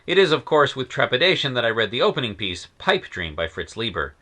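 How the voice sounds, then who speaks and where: A man's voice: mid-range voice, big pitch swings, fairly quick, sharp tone. A man narrating a scripted review of old science fiction stories, wry and enthusiastic with sardonic asides. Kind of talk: scripted narration in a period persona.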